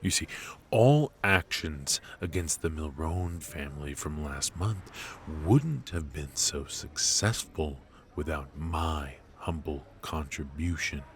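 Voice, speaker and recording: A man playing a suave, ruthless mafia boss in a noir roleplay audio drama, taunting and teasing with menace and drawn-out pauses. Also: very deep voice, lively intonation, slight background noise, exaggerated voice. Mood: gloating, teasing. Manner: unhurried, taunting, drawling with pauses and soft chuckles.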